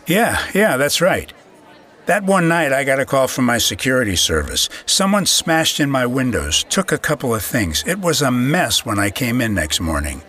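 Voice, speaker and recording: An actor playing a plain-spoken small shopkeeper interviewed in an audio drama, halting, earnest and folksy. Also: male voice, medium pitch, sing-song, sharp tone. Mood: aggrieved, earnest.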